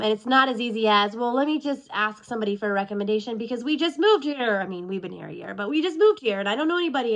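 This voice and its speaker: Sniffly woman talking straight to her camera, chatty, wanders off topic, mid pitch. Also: creaky, a bit bright. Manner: candid, chatty, goes off on tangents. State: sniffly from allergies. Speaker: military spouse vlogger. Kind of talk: casual talking-head check-in video. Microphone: self-recorded video.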